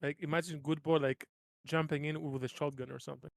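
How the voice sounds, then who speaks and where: A man's voice: fairly high pitch, fairly even intonation, fast talker, creaky, no room sound, studio quality. A man chatting informally as a player during a tabletop roleplaying session. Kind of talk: casual table talk.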